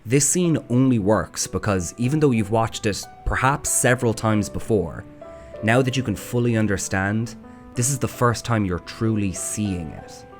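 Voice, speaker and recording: Low-voiced man narrating, wry and sweary. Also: moderate pace. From Ireland. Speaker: video essay host. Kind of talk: scripted video essay narration. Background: background music.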